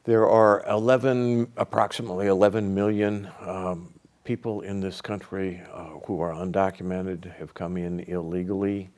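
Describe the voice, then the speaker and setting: Older man with a deep, measured voice. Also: flat delivery, a little mellow. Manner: measured, probing questions. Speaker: TV interviewer. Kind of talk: studio interview questions. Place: small TV studio set.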